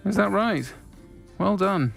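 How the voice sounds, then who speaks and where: A performer playing an unflappable female tavern owner in a video game, welcoming, measured and wry. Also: medium pitch, lively intonation, gravelly, faint music behind, heavily acted. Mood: concerned. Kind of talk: video game cutscene acting.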